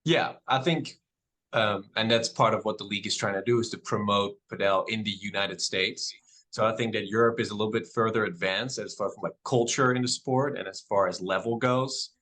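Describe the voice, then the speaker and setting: A Dutch-born man who speaks English with no accent as his second language. He is a padel club owner answering podcast questions in a measured, soft-spoken way, with frequent ums. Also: on the low side. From Netherlands.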